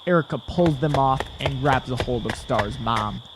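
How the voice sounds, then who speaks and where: A man's voice: medium pitch, moderate pace, noisy background. A man narrating a scripted audio drama in the third person.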